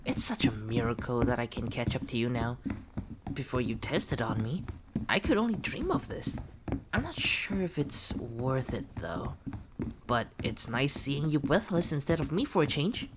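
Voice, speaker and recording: A woman's voice: a bass voice, lively intonation, moderate pace, background noise. A woman playing a gullible, eerily devoted test subject in a horror audio roleplay, pleading yet menacing with false sweetness. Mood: taunting.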